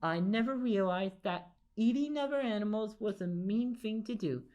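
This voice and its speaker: A woman voicing a hungry, silly old lady from a storybook, stubborn but timid, in an excitable, exclaiming way. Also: medium pitch, moderate pace, highs cut off, pitched above the performer's own voice, close to an everyday voice. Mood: frightened, pleading. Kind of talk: storybook character voice.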